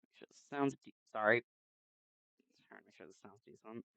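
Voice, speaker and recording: A man chatting casually and haltingly as he hosts a livestream, speaking into a headset mic. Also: lowish voice, gravelly, fairly bright tone, no room sound, dull audio. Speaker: game streamer, host. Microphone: new headset microphone.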